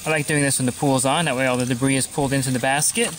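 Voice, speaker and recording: A man's voice: medium pitch, fairly even intonation, rapid-fire, a bit bright, a little hiss or hum. A pool service technician talking through his weekly routine in a plain, matter-of-fact instructional voice-over.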